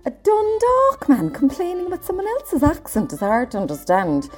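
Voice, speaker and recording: Sardonic, mellow Irish actress as agony aunt, animated. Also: female voice, sits high, normal speed, faint music behind. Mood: scolding. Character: sharp-tongued, wisecracking Irish agony aunt. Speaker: agony aunt answering letters. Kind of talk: scripted comic advice column.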